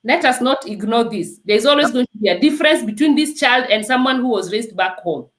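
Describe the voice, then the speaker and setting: A Kenyan woman with a high, lively voice that leaps up and down as she urges her listener on. She speaks with earnest conviction, often stressing her point with "let me tell you." The sound carries some echo from the room. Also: a little fast. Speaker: nurse and guest. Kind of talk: emphatic testimony in an interview.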